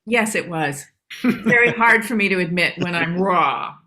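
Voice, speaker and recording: A woman playing a proud, know-it-all female animal in an animated comedy table read, speaking emphatically and haughtily. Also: fairly low pitch, normal speed. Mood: reluctant confession.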